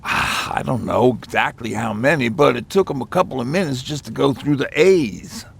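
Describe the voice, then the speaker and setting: Male survivor for post-apocalyptic game: heavy fry, husky edge, reflective, halting storyteller. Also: mid-range voice. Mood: somber. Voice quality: gravelly, husky. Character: weathered male post-apocalypse survivor recalling hard times. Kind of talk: naturalistic video game acting.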